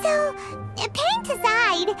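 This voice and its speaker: A woman voicing a small, excitable, childlike fairy companion with a squeaky, cheeky, exclamatory delivery in an anime fantasy game. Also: takes it slowly, full character voice. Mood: curious, eager. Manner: refers to self in third person, exclamatory, cheeky. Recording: loud music behind the voice. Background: game music.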